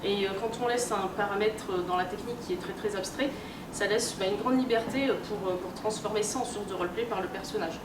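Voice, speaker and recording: A woman lectures in a roomy, echoing space, picked up a distance over clear background noise. Her delivery is colloquial and explanatory. She hesitates often and rephrases as she goes. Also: mid-range voice, fairly quick. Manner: hesitant, colloquial, self-correcting. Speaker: conference speaker, researcher and editor. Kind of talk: informal explanatory lecture with examples. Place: live talk in a room at a convention. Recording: roomy, distant, noisy live conference recording.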